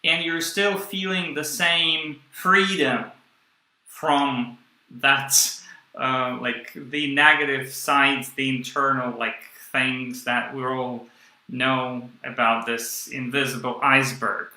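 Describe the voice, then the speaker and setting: A man interviewing a guest, asking long questions in slow, searching phrasing full of fillers. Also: medium pitch, steady tone, sharp tone. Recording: echoey room.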